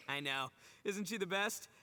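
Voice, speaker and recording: A man's voice: high voice, takes it slowly, pushed and bright, full character voice. A man playing a brash, boastful young gang boss in an anime game, cocky, jovial and swaggering. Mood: cocky, teasing. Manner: swaggering, boastful, laughs easily. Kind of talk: anime video game voice acting.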